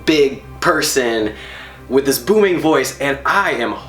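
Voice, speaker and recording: Animated man telling a story, roomy echo. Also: medium pitch, takes it slowly, faint music behind. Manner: animated, emphatic, laughs while telling. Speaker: storyteller on stage. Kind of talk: personal storytelling talk.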